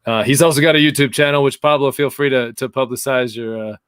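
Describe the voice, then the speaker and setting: A man hosting a podcast, rambling and self-deprecating as he trips over his words. His voice sits at an ordinary pitch and sounds dry and close to the mic. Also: quick pace, fairly bright tone, clean tone, no echo. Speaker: podcast host. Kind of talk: casual interview chat.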